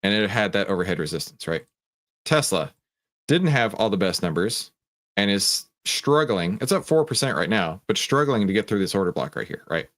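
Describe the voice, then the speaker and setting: Low-pitched man hosting a live trading stream. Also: very animated, moderate pace, a bit bright. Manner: conversational, often tags statements with "right?"; emphatic, explanatory, poses questions and answers them. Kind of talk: casual live market commentary, chatting with viewers.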